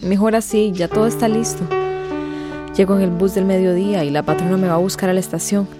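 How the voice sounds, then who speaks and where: An actress playing a resolute, polite young migrant housemaid in a radio drama. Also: female voice, mid-range voice, steady tone, quick pace, natural voice. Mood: firm, gentle. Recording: music behind the voice.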